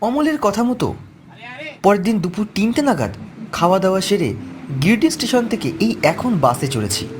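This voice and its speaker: A man's voice: high voice, very animated, normal speed, a little hiss or hum. A man narrating a first-person horror story from a script. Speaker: narrator of an animated ghost story.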